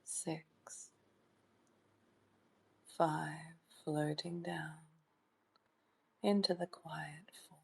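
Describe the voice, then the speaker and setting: A woman leading a guided meditation in a soft, soothing voice that sometimes drops toward a whisper, in short phrases with long pauses. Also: sits low, creaky, slightly rough, poor audio. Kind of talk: guided meditation, hypnotic trance induction.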